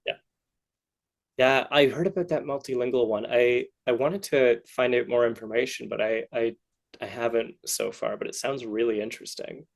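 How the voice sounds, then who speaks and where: A man hosting a casual interview, asking questions in a halting way and often rephrasing them midway. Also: medium pitch, fairly even intonation, gravelly. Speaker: podcast host, interviewer.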